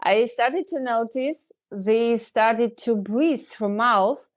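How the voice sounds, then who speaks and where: A woman's voice: on the high side, slow pace. A woman in her fifties with a Ukrainian accent, a dentist guest who hesitates and searches for English words.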